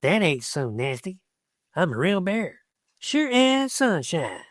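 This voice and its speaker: A man voicing a small, calm, plucky young bear in a children's storybook, with a folksy rural Southern US accent. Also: sits high, takes it slowly, noisy background, pitched above the performer's own voice, full character voice. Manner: folksy, plainspoken, self-assured.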